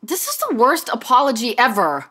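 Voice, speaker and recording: An actress in an audio play, playing a frustrated, sarcastic young adult daughter with a slangy, pleading manner. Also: female voice, on the high side, huge pitch swings, normal speed, bright, forceful tone, husky, exaggerated voice. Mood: exasperated, baffled.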